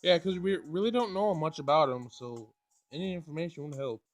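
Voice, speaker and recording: Higher-voiced man casually playing a game. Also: brisk. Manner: thinks aloud, informal slangy reactions. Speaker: let's play gamer. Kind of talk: casual game playthrough, reading dialogue aloud.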